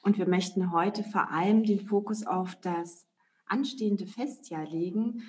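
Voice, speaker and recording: A woman co-hosting an educational podcast, reading measured introductions and questions. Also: mid-range voice, a little mellow, slight background noise. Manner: measured, reads guest introduction. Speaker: podcast co-host. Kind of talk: scripted podcast moderation.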